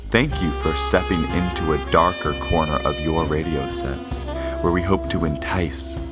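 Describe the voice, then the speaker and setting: An actor playing an ominous, theatrical host and narrator of an old-time radio mystery serial, with brooding, grandiose, drawn-out delivery. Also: male voice, extremely low pitch, over loud music. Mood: ominous, inviting.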